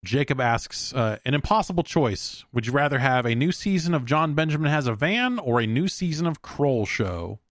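A man's voice: mid-range voice, lively intonation, moderate pace, hardly any pauses, dry recording, studio quality. A man hosting a podcast solo, chatting casually in a rambling, opinionated, profane way. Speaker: podcast host answering listener mail.

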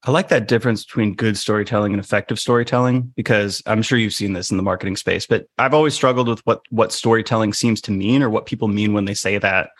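A fairly low-voiced man hosts a podcast conversation, musing through his questions with "uh" and "like" as he goes. His voice is dry and close to the mic. He sounds easygoing and curious. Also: fairly even intonation, fast talker, hardly any pauses, fairly bright tone, no echo. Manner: thinking aloud, hesitant, with fillers and analogies. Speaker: podcast host. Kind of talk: conversational interview.

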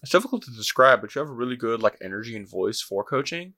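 A man's voice: mid-range voice, lively intonation, fast talker, pushed and bright. A man hosting a casual podcast interview, encouraging and affirming his guest. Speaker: podcast host.

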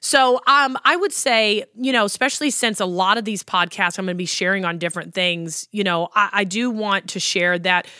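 A woman's voice: mid-range voice, normal speed, hardly any pauses, bright, forceful tone, smooth, no echo, studio quality. A woman in her thirties, a worship pastor hosting a podcast, chatting about her life with many ums and likes. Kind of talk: casual personal storytelling.

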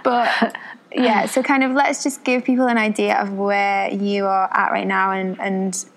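A woman's voice: medium pitch, level tone, a little slow, low-quality recording. A woman hosting a podcast, opening the talk in an effusive way with lots of praise, at her guest's home. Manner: effusive, full of praise and laughter. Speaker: podcast host. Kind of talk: casual interview. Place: guest's home in Bali at sunset.

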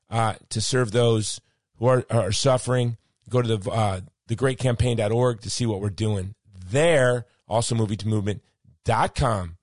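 Hoarse man hosting a podcast. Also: mid-range voice, dry recording, studio quality. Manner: rambling, personal storytelling with frequent ums. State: losing his voice, hoarse. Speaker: podcast host. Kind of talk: casual monologue wrapping up a podcast.

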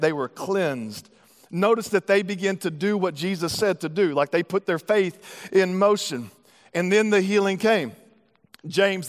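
Brief: male pastor, high tenor, emphatic preaching, reverberant church hall. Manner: emphatic, rising to loud preaching. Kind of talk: sermon. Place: church auditorium.

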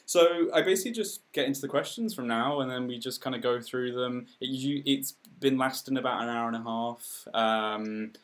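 A man's voice: medium pitch, normal speed, hardly any pauses. Chatty, jokey man hosting. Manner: jokey and chatty, teasing the guests. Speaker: host of a video-call group session. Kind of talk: casual chat.

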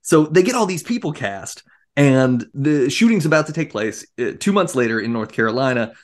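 A man with a mid-pitched voice talks as a podcast co-host in a casual film discussion. He is animated and wry, slipping into mock quotes and sarcastic asides as he retells things. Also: normal speed.

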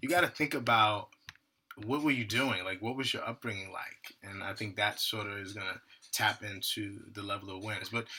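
A man's voice: fairly low pitch, pushed and bright. Emphatische man met een heldere klank. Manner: emphatic, keeps checking in with "right?". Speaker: therapist guest. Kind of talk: casual podcast conversation.

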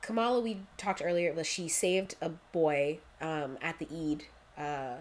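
A woman's voice: deep voice, measured pace. A young woman co-hosting a podcast, recapping a show in casual chat, full of "like" fillers. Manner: chatty, many "like" fillers, quotes characters in lively voices. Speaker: podcast co-host.

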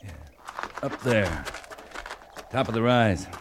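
A low-pitched male cavalry captain from an old radio western. He is terse and understated, with steady authority. The vintage recording carries faint noise. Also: takes it slowly. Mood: calm, watchful. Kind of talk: 1950s radio western acting.

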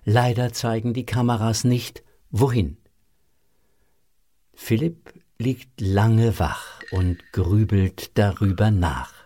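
A man narrating a children's audio drama as an expressive, deliberate storyteller. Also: on the low side, a little hiss or hum.

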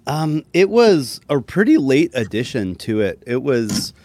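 A man chatting casually as a musician being interviewed, talking in a laid-back, self-deprecating way with halting phrasing. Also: medium pitch, big pitch swings, a little mellow, dry recording. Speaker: musician guest.

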